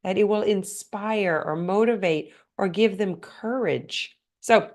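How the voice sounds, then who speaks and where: Woman, podcast host, motivational solo talk, earnest, slightly hoarse and congested. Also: mid-range voice, slow, a little mellow. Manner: earnest, questioning the listener directly.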